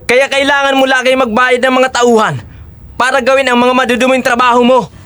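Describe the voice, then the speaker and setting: A man's voice: very high voice, flat delivery, a little fast, pushed and bright, clear, resonant tone. A man playing a righteous, commanding horseman who taunts the fugitive he pursues in an audio drama. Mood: taunting, scornful.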